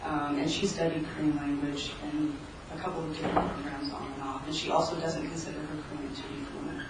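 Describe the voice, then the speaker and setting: A woman's voice: sits low, level tone. Woman presenting in an echoey hall. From United States. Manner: measured and explanatory, with frequent ums and restarts. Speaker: conference co-presenter, researcher. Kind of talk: academic conference presentation. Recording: echoey hall, distant mic, noisy.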